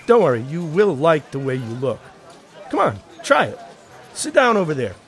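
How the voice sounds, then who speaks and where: A man's voice: fairly high pitch, wildly swooping pitch, normal speed, some chatter behind. A man acting in an audio drama as a breezy, broke beach sketch artist with wry, casual banter. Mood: breezy salesman patter. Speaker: actor.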